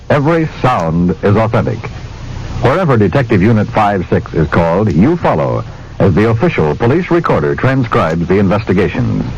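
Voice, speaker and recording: A man with an American accent announcing a vintage radio police program in an emphatic, formal style. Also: lowish voice. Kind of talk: dramatic 1950s radio announcing. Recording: muffled old radio recording, faint noise.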